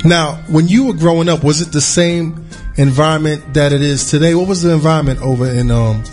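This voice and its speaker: A man's voice: a little high, fairly quick, a little mellow, soft background music. A man hosting a casual studio podcast interview, talking loosely with many restarts and repeated words. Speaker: podcast host.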